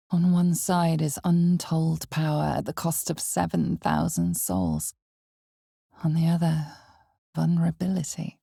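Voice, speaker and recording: A woman playing an ominous, all-knowing narrator of a dark fantasy video game, speaking in a measured, dramatic way with hushed intensity. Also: sits low, vocal fry, dry recording, studio quality, exaggerated voice. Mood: ominous, solemn. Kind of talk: scripted second-person fantasy game narration.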